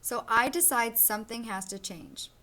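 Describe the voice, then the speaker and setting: A woman's voice: a little high, normal speed, pushed and bright, a little hiss or hum. A woman voicing a sloppy, cheerful young boy who narrates his own storybook, read expressively. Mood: determined.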